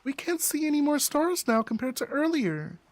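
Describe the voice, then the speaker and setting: A man's voice: very high-pitched, big pitch swings, moderate pace, no room sound, pitched above the performer's own voice. A man voicing an anxious, hesitant young woman in a visual novel. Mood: uneasy, hesitant. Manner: hesitant, breaking off.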